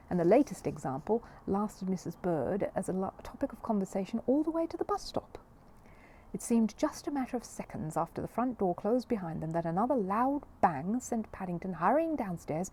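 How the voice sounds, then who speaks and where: A woman reading a children's story aloud as an expressive narrator. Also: mid-range voice, a little hiss or hum. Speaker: audiobook reader.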